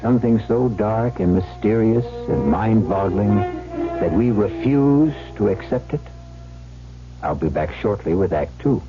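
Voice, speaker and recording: A man with a low, expressive voice narrates portentously as host of a mystery show. The recording is dull old radio with music behind. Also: a little slow. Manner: ominous, portentous. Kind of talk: dramatic scripted narration.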